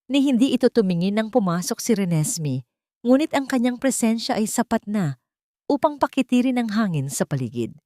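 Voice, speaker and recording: A woman's voice: fairly high pitch, very animated, normal speed, mellow, no room sound. A woman reading a dramatic scripted story as narrator, recorded close in a dry space.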